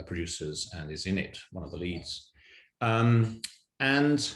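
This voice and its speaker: A man recounting events casually as an interview guest, in a hesitant, stop-and-start way. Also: low-pitched. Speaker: podcast guest, writer and producer. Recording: roomy, echoing sound.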